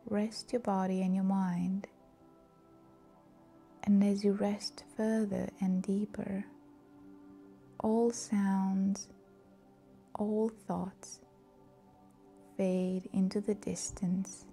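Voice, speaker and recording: A woman leading a scripted guided meditation in a soft, breathy, soothing and calm voice. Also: medium pitch, drawn-out words, pauses often, faint music behind.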